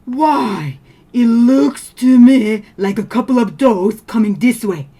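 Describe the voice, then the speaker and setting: Slightly raised, calm, knowing rooster from a narrator for a storybook fable. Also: mid-range voice, slow, voice pushed up. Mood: sly. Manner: calm, sly, innocent-sounding. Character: wise old calm rooster, quietly clever.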